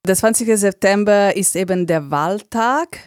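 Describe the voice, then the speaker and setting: A woman interviewing a guest for a radio show, asking plain, measured questions. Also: mid-range voice, clean tone, no echo, clean studio sound.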